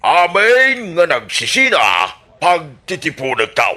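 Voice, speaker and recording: An actor playing a scheming, blustering village candidate in an Ilocano radio comedy drama, with an Ilocano Filipino accent and a theatrical, drawn-out delivery. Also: male voice, fairly high pitch, huge pitch swings, pushed and bright, exaggerated voice. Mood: boastful campaigning. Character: scheming, blustering male village election candidate, bitter after losing.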